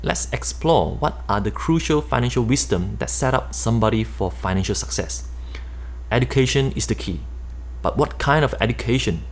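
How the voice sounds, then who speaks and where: A middle-aged man with a Malaysian accent reading his own nonfiction book aloud in a steady, explanatory way. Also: medium pitch, moderate pace, creaky. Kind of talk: nonfiction audiobook narration.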